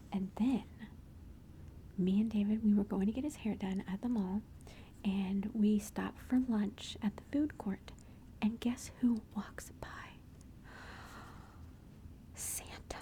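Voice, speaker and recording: A woman's voice: medium pitch, mellow, a little hiss or hum. A woman whispering throughout as she shows family photos and gushes fondly over her kids. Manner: gushing, affectionate, chatty. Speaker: mother showing family photos. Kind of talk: whispered ASMR photo show-and-tell.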